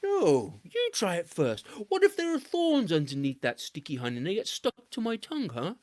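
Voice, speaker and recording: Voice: mid-range voice, normal speed, no room sound, pitched above the performer's own voice. A storyteller doing a wary, stubborn monkey. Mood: suspicious. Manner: suspicious, flat refusals.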